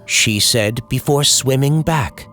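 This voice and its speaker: A man's voice: mid-range voice, slow, bright, forceful tone. A man reading a scripted scary story in a theatrical old-time radio horror style over background music. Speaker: horror story host and narrator.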